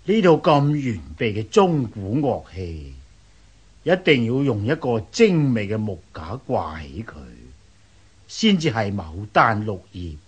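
An actor playing a stately, deliberate king of ancient China in a radio drama, husky, with a Hong Kong Cantonese accent. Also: on the low side, very animated, exaggerated voice. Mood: pleased, stately. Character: pleased, patient king of an ancient Chinese state.

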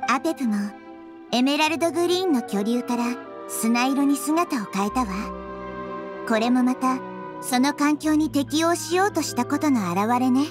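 A woman voicing a wise, gentle, childlike nature goddess in an anime game, explaining things with composure. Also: high voice, animated, moderate pace, music in the background. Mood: calm explaining. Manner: composed, explanatory, feminine phrasing. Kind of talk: Japanese anime game voice acting.

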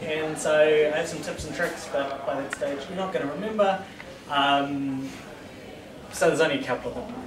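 A man's voice: a little high, normal speed. A man in his thirties with a New Zealand accent, giving a casual, self-deprecating talk to a meetup in a small brick bar room, heard from a far camera. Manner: self-deprecating, joking, many ums. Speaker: statistics lecturer giving a talk. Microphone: far camera, no mic. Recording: echoey room from far off, crowd.

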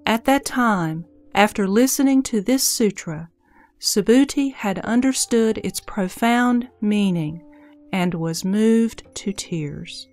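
A woman's voice: medium pitch, slow, darkish tone, soft background music. A woman reading scripted narration as the narrator of an audio drama.

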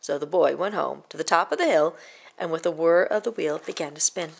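A woman storyteller reading a children's picture book aloud in a measured, expressive way. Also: deep voice, vocal fry, breathy edge.

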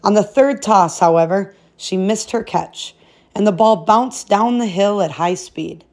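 A woman reading a fairy tale aloud as a storybook narrator, in an expressive style. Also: medium pitch, unhurried.

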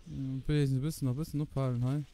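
A man with a Luxembourgish accent giving casual gameplay commentary, excitable and repeating words in quick bursts. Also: medium pitch, low-quality recording. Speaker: gamer commentating own play.

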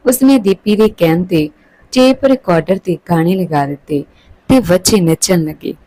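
A woman's voice: fairly low pitch, warm tone, no echo, low-quality recording. A woman reading a scripted story aloud as a narrator.

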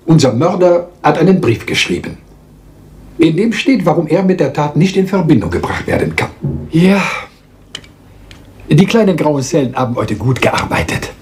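Lively French-accented little detective. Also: male voice, on the high side. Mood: triumphant. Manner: theatrical, deliberate, polite, lecturing, teasing rhetorical questions. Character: small, meticulous, self-assured Belgian detective. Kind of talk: German radio crime drama acting.

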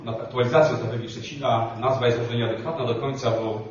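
A man's voice: medium pitch, fairly even intonation, fast talker, a little hiss or hum. Older man speaking up at a meeting. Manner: polite, hesitant with fillers. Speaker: participant at a meeting. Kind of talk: public remarks at a meeting. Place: hotel conference room. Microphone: handheld microphone. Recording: roomy, distant, dull hall sound.

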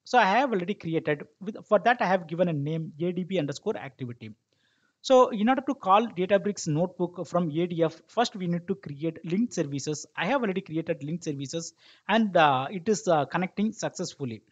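A man narrating a software tutorial step by step as an instructor, explaining and checking that listeners follow, in a lo-fi recording. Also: high-pitched, lively intonation, a little fast. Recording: dull lo-fi microphone sound.

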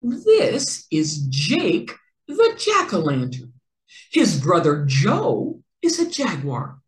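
A middle-aged woman reading a children's picture book aloud in an expressive, deliberate storytelling manner with careful enunciation. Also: fairly low pitch, roomy sound. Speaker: read-aloud host for children.

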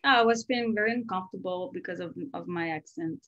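A woman's voice: fairly low pitch, fast talker, muffled recording. A woman with a French accent and French as her first language, candidly telling a personal story on a video call. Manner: reflective, candid, soft-spoken. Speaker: former student.

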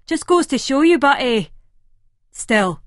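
A woman's voice: sits high, big pitch swings, slow pace, no echo, studio quality, voice pushed up, full character voice. A woman reading an audiobook, voicing a breezy, boastful Scottish man with a Scottish accent. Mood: musing. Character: cocky, easygoing Scottish man.

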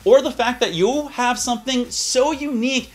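A man in his thirties presenting a software tutorial in a casual, upbeat way. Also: very high voice, moderate pace. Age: 30s. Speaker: YouTube tutorial host. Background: background music.

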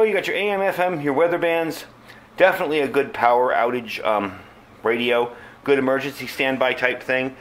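A man's voice: medium pitch, fairly bright tone. A man giving a casual, unscripted product review as a channel host, chatting informally to his viewers. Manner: informal, conversational, addresses viewers as folks.

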